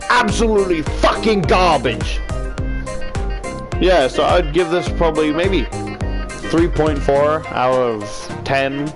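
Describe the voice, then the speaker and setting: A man giving a casual, rambling game review in a profane, exasperated, ranting manner with emphatic repetition. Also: a little high. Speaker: video game reviewer, YouTuber.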